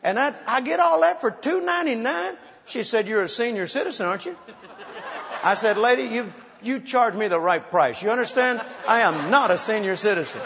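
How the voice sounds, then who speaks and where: High-voiced preacher in his sixties. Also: lively intonation, a little fast, creaky, fairly bright tone, slight background noise. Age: 60s. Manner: exhorting, calls for praise, playful storytelling. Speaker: pastor. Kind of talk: sermon. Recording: muffled sound in a large reverberant hall.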